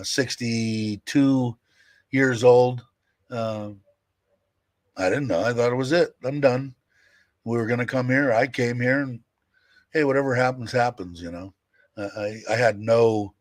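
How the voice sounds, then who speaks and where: A man's voice: mid-range voice, no echo. A man in his sixties talking casually on a livestream, chatting candidly and answering viewer comments with many fillers. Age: 60s. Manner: rambling, candid, with fillers and laughs. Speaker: livestream host.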